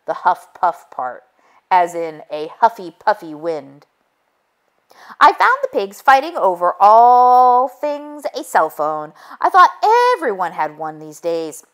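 A woman reading a children's storybook aloud in an expressive storytelling style. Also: mid-range voice. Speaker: read-aloud narrator.

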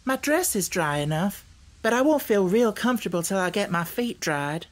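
A woman's voice: medium pitch, animated, normal speed, pitched above the performer's own voice, lightly acted. A woman voicing an earnest, outspoken young country girl in a storybook, speaking emphatically. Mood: earnest, friendly. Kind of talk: storybook character voice.

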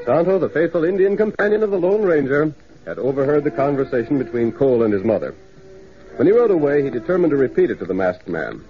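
A man's voice: medium pitch, sing-song, quick pace. A man with an American accent narrating a 1930s radio western in a scripted storytelling style. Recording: muffled 1930s radio, music behind.

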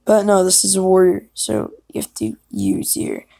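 A woman's voice: medium pitch, sing-song, normal speed, creaky, mellow, no room sound, studio quality. A young woman chatting casually and self-consciously, hesitant and apologetic as she records herself. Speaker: amateur voice actor recording an audition.

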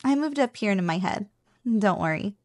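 A woman playing a playful, possessive but affectionate slime girl roommate in an ASMR roleplay, speaking softly and breathily with a teasing manner. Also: mid-range voice, animated, no room sound, studio quality, lightly acted. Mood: caring, relaxed. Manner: playful, teasing, slow and savoring.

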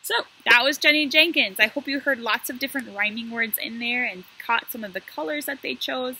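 A woman in her thirties talking to first graders as a guest story reader, explaining things simply and in an animated way. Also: a little high, brisk, slightly rough, rough recording. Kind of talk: addressing young children, explanatory read-aloud intro. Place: outdoor covered patio.

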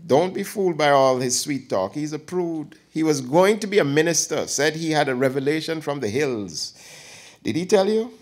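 A man's voice: a little high, animated, moderate pace, voice pushed up. A man reading an audiobook, voicing an elderly aunt who teases and gossips with theatrical flair. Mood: teasing. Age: older. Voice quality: teasing, theatrical, lapsing into dialect.

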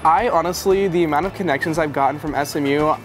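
Upbeat young man, higher-pitched voice. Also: fast. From Texas. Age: early twenties. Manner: enthusiastic, friendly. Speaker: student co-host. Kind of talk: upbeat promotional vlog, casual chat. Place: outdoors on streets and cafe patios. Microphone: clip-on lapel mic. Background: background music.

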